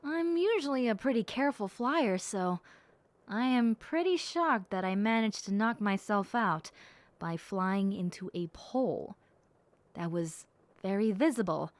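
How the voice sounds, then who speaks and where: A woman voicing a gentle, cheerful young bat girl in an intimate audio roleplay, speaking earnestly and reflectively to the listener. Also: mid-range voice, animated, dry recording, lightly acted. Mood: puzzled, sheepish. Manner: soft-hearted, earnest, reflective, unhurried.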